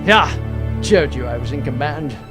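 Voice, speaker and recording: A man's voice: a little high, animated, moderate pace, over music, close to an everyday voice. A man playing a vain, petty, self-important vice admiral in a comic audio drama, pompous and boastful. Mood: smug, bossy.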